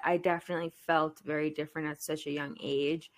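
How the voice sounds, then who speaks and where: Woman host with a lowish voice, chatty and giggly, easy interview tone. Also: little pitch movement, brisk. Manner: friendly, curious questions, giggly. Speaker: podcast host. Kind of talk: casual interview chat.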